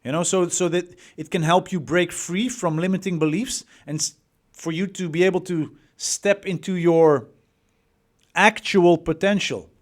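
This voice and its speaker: Dutch guy coaching men, slow and emphatic, kinda high voice. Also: steady tone. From Netherlands. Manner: emphatic, repeats words, rhetorical questions. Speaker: dating coach. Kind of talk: motivational coaching talk.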